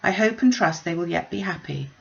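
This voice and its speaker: Woman reading as a gentle, hopeful young gentlewoman. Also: on the low side, fairly quick, creaky, highs cut off. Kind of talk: classic novel read aloud.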